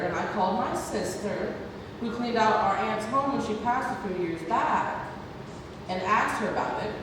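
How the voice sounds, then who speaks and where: A woman acts a chatty, generous nurse in a play read-through. Her voice is medium in pitch, distant in a very echoey, noisy hall. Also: lightly acted. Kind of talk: amateur stage play table read.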